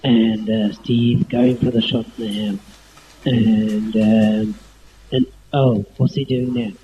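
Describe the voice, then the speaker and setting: A teenage boy voicing a bemused snooker commentator in an amateur spoof, measured and halting. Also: on the low side, level tone, voice pushed down. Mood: measured, focused. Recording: dull, noisy lo-fi home tape.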